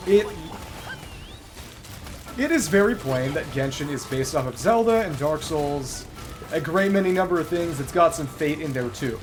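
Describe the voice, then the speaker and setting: A man's voice: on the high side, animated, moderate pace, long pauses, music in the background. A man livestreaming a video game, giving casual, bookish commentary that wanders into long tangents. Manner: bookish, discursive, digressive.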